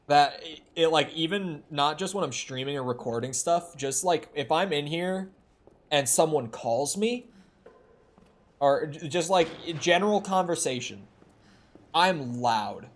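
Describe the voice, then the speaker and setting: A man's voice: a little high, animated, measured pace. Chatty young man streaming a horror game. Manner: rambling, chatty, self-deprecating, with restarts. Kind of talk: casual chat while playing a horror game. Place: home room. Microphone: streaming desk mic.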